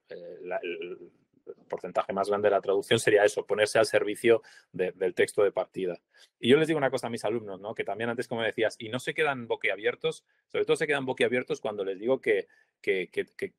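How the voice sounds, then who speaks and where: A Spanish translator in his forties, speaking in a reflective interview over a video call, pausing often with fillers and asking little tag questions. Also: lowish voice, dry recording. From Spain. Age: 40s. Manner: thoughtful, hesitant, many fillers and tag questions. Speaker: translator, prize-winning guest.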